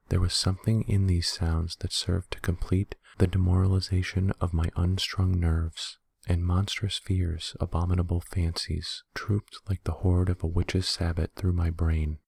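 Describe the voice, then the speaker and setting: A man reading a horror story in a soft-spoken ASMR style, slow, deliberate and hushed. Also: sits low, gravelly, breathy edge, dry recording.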